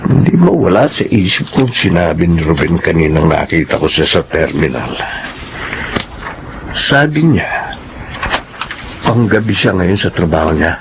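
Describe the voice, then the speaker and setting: A man acting a menacing, leering husband, creaky voice. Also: deep voice, very animated, measured pace, pauses often, noisy background, heavily acted. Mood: scheming. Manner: leering, gruff and domineering. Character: menacing, lustful husband turned violent intruder. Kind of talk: Filipino drama acting with inner monologue. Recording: music loud behind the voice.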